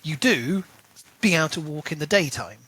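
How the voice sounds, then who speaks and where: A man's voice: fairly high pitch, lively intonation, moderate pace, a bit bright, husky. A man co-hosting a podcast, talking through a book in a chatty, rambling way full of "like" and "you know". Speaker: podcast co-host. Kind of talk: casual book discussion.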